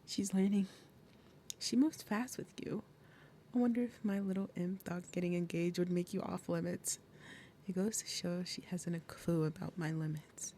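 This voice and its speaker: A woman voicing a big, possessive demon mother in an ASMR roleplay, falsely sweet and threatening, speaking deliberately and dropping into breathy murmurs. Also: lowish voice, fairly even intonation, warm tone, a little hiss or hum, close to an everyday voice. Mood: coolly threatening. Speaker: roleplay audio performer. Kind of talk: ASMR roleplay, acted monologue.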